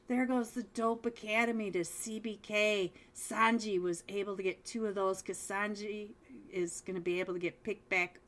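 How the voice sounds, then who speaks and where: A woman casting a mobile esports match live as a solo caster, chatty and talking straight to viewers, with a voice she says is going hoarse. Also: medium pitch. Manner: chatty, talks straight to viewers, frequent restarts. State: losing her voice. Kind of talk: live game commentary.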